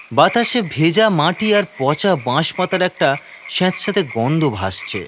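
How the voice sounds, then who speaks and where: A man giving dramatic, suspenseful first-person narration of a scripted horror story. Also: a little high. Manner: theatrical, suspenseful delivery. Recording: faint ambient noise, croaking frogs behind.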